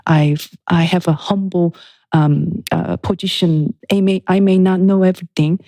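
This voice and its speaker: A woman, a guest pianist and author, talking in a thoughtful, unhurried way in a studio interview. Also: fairly low pitch, steady tone, no echo. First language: Korean. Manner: reflective, unhurried, with soft laughs and restarts.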